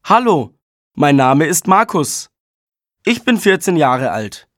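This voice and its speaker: Casting: a friendly fourteen-year-old boy, slow and dry. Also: a little high, very animated, a bit bright, no echo, heavily acted. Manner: slow, clear self-introduction. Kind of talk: scripted textbook audio.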